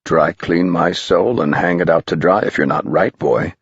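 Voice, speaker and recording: A mischievous old male spirit swoops through a storybook night, put on by a man reading aloud. His voice is low and husky, his old-fashioned speech slow and gleeful. The recording sounds slightly muffled and dry. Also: no room sound, full character voice. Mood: gleeful, spooky.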